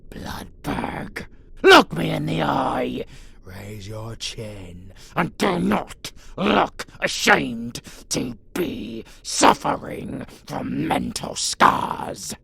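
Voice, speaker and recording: Casting: predatory, possessive vampire monster for audio roleplay; thick gravel, animated swings from whisper to roar, theatrical menace. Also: male voice, mid-range voice, very slow, full character voice. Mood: stern, proud. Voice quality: gravelly, growling, breathy whispers.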